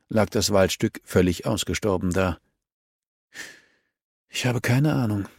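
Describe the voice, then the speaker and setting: A man's voice: low-pitched, a little mellow, no echo, studio quality. A man reading a crime novel as an audiobook narrator, in scripted narration.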